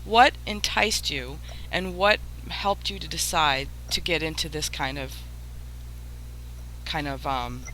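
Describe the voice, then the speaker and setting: A woman's voice: low-pitched, takes it slowly, sharp tone, a little hiss or hum, low-quality recording. A woman hosting a casual chat with radio performers, thanking them and putting questions to them. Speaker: radio show creator and host.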